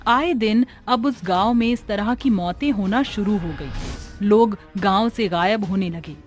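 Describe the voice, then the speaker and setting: A woman's voice: mid-range voice, measured pace. Woman narrating a horror story over music. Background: background music.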